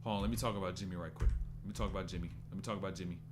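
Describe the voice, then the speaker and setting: A man's voice: low voice, fairly even intonation, very fast, over music. A man in his twenties doing casual live-stream basketball commentary, loose and rambling as he stops to answer chat. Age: 20s.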